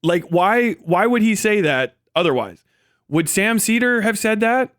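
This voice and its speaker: A man with a fairly high-pitched voice comments casually on a livestream. He sounds exasperated and incredulous, arguing his point with plenty of swearing. The sound is very dry, with no room on it. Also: expressive, a little slow, fairly bright tone, dry recording. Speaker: livestream host reviewing own debate.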